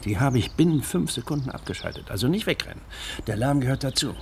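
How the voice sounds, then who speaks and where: An actor playing a burly older burglar in a radio drama, matter-of-fact and commanding, giving terse instructions. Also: male voice, mid-range voice, very animated, fast talker, creaky, slight background noise. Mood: calm, businesslike.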